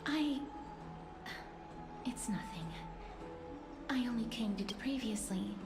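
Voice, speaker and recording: A woman as a gentle, wistful young heroine. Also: mid-range voice, roomy sound, close to an everyday voice. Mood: hesitant, wistful. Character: gentle, melancholy young female poetry lover, polite and reserved. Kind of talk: fantasy video game acting.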